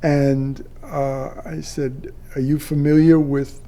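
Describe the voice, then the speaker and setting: An older man, a retired air traffic controller, telling a personal story off the cuff in a halting way with drawn-out pauses. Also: on the high side, level tone, warm tone.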